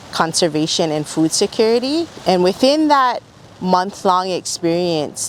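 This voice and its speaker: A woman with a slightly low voice explains a program in an interview, speaking as one of its organizers. A faint layer of background noise sits behind her.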